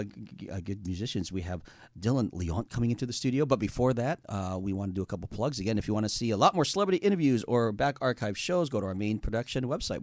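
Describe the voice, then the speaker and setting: A man's voice: on the low side, no room sound. A young adult man hosting a casual radio talk show from a studio, rambling with lots of fillers while reading plugs.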